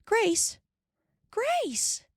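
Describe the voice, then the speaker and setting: A woman voicing a frightened pioneer mother who calls out in a high voice. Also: wildly swooping pitch, unhurried, gravelly, dry recording, studio quality, heavily acted. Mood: frantic. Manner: high, calling out.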